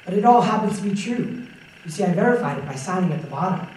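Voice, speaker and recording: A man's voice: high voice, animated, quick pace. A young man playing a kindly, courteous old Santa Claus in a radio-style stage drama. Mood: calm, earnest. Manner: gentle, earnest, courteous. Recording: very echoey hall, distant mic, faint noise.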